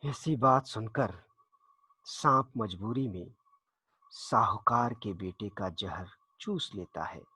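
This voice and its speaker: Man, children's folktale storyteller, slow pace, lively pitch swings, dramatic pauses. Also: slightly rough, no echo. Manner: dramatic, deliberate pauses and repetition. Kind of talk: expressive folktale narration for children.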